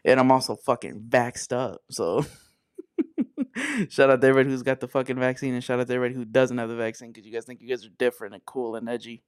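A young man hosts a loose podcast chat, cursing casually and cracking jokes between laughs. His voice sits at an ordinary middle pitch. It is recorded dry and close, with no room sound. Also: animated, fast, smooth, no room sound. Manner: profane, joking, laughs often. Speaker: podcast host.

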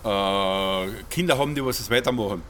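A middle-aged or older man, answering as a farmer in an interview, haltingly and repeating words. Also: on the low side, a bit bright, slight background noise.

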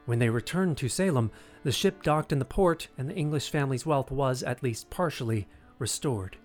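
A man narrating scripted history as a measured, dramatic storyteller. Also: mid-range voice. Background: music.

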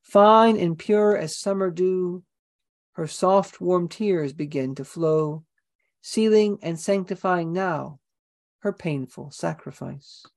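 A woman narrating a documentary script in a slow, solemn reading voice. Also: lowish voice, darkish tone.

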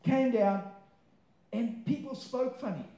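Male preacher, English as second language, high voice with a soft-edged mellow tone; emphatic sermon delivery that stretches key words. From born abroad, moved to Australia aged nine. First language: not English; English is a second language. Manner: emphatic, stretching key words, then quick informal asides; rhetorical questions to the listeners. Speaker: pastor preaching. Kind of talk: sermon to a live congregation. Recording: roomy, echoing live sermon sound.

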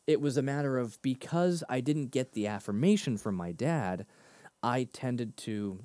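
A man co-hosting a casual faith discussion, talking animatedly and breaking into higher voices when he quotes himself. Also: unhurried, no echo. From Boston area. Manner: animated, laughs often, jumps pitch in quoted asides. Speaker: podcast co-host.

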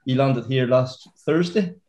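Mellow-voiced podcast host. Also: male voice, mid-range voice, measured pace. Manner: chatty, upbeat, many fillers. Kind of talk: casual podcast chat.

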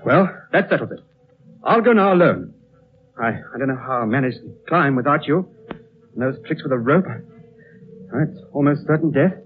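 A man acting an impulsive young Englishman in an old radio play, excitable and pleading. His voice swoops up and down as he stammers, over a muffled broadcast with faint noise. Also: medium pitch, moderate pace, long pauses, close to an everyday voice. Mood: upset, pleading. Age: young adult. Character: agitated, lovestruck young Englishman, stubborn and impulsive.

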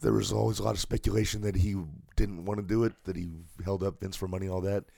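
A hoarse man with a deeply gravelly, low, dark voice, co-hosting a laid-back wrestling chat. He reads through a list of anniversaries in an easygoing way. The sound is dry and close. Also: fast, no echo. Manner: relaxed, reads off a list of dates. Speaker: podcast co-host.

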